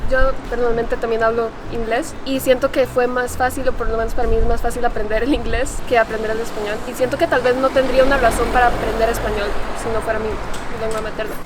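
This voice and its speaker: A woman with a Costa Rican accent answering a street interview outdoors, quick and matter-of-fact. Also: fairly high pitch, little pitch movement. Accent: Costa Rican Spanish. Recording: street noise.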